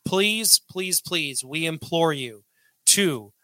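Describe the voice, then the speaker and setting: A man's voice: on the high side, animated, slow, pushed and bright, no room sound. A man hosting a casual podcast, loose and joking with crude humor. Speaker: podcast host.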